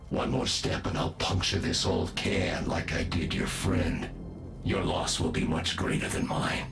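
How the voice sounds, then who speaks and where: A man playing a menacing, cultured robotic villain in a game, with a gravelly voice and slow, taunting, ornate speech. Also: low-pitched, fairly even intonation, echoey room, music in the background. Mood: cold menace. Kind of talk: video game cutscene acting.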